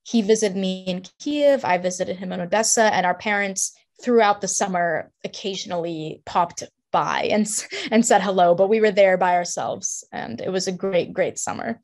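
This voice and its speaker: A young woman in her twenties from Ukraine with an American accent, answering interview questions in a measured, thoughtful way. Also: medium pitch. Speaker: guest, co-founder.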